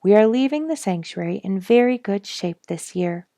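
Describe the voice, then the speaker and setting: Woman reading a knowing, creaky-voiced female companion. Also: medium pitch, expressive, moderate pace, dry recording, clean studio sound, voice pushed up, close to an everyday voice. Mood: contented. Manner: knowing, gently teasing. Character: wise, contented, gently teasing naturalist's female companion.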